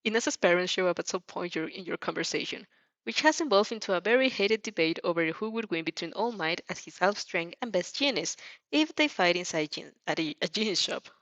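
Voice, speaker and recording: A woman's voice: on the low side, animated, brisk, a bit bright, no room sound. A woman reading a fan fiction story aloud with casual asides, giggly and often stumbling over her words.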